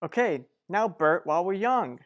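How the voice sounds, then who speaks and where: A man's voice: high-pitched, big pitch swings, normal speed, fairly bright tone. A man playing a wry, impatient young husband in a radio soap drama. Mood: teasing, impatient.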